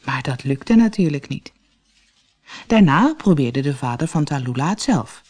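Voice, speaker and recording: A storyteller reading a children's fairy tale from a script in an expressive, deliberate way, as for an audiobook. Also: mid-range voice. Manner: expressive, deliberate read-aloud delivery.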